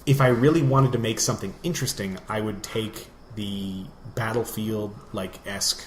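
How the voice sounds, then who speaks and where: Low-voiced man in an echoey room. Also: moderate pace. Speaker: podcast co-host. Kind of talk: casual gaming chat. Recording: roomy echo, clear background noise.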